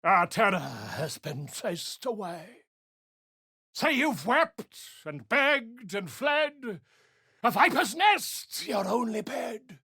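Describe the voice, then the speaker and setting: Pompous bard-scholar, breathy, slow and sing-song, dry studio sound. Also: male voice, high voice, big pitch swings, pushed and bright, dry recording, heavily acted. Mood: declaiming. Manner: flowery, pompous, declamatory. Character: eccentric male bard-scholar, pompous and theatrical. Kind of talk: video game voice acting.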